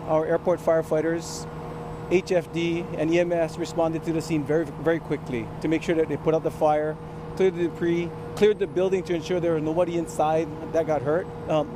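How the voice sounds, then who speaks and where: A man, a government official, speaking haltingly at a press briefing. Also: fairly high pitch, steady tone. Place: outdoors near the incident scene. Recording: clear outdoor traffic noise.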